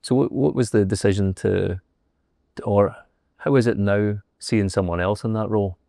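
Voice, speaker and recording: A man's voice: fairly low pitch, fairly even intonation, normal speed, vocal fry, mellow, no echo, clean studio sound. A man hosting a podcast interview, asking short questions and prompting his guest along. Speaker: podcast host. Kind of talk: casual interview conversation.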